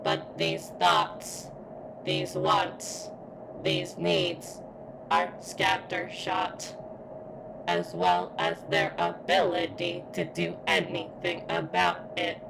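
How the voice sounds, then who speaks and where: A woman playing a sentient female robot in constant pain for an ASMR roleplay, speaking in a strained, halting, formal and deliberate way. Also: fairly low pitch, very animated, noisy background, full character voice. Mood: pained, measured. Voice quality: strained, creaky. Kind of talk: ASMR roleplay, scripted monologue.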